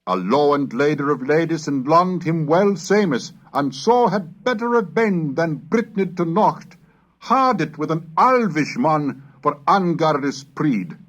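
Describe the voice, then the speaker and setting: A man reciting medieval alliterative verse in a measured, declamatory, rhythmic way, as a reader of historical texts. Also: a little high, lively intonation. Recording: dull lo-fi 1970s cassette recording.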